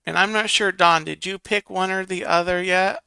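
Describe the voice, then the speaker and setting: A man's voice: high voice, steady tone, moderate pace, creaky, bright, forceful tone, clear, resonant tone, no room sound, poor audio. A man hosting a casual live stream, reading viewer comments and counting votes aloud while muttering to himself.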